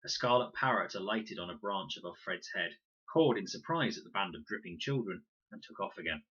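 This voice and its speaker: A man reading a children's adventure story aloud in an expressive, dramatic storytelling manner. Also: sits low, fairly quick, breathy edge, dull audio. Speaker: audiobook reader and teacher reading aloud. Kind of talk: animated scripted narration of a children's adventure story.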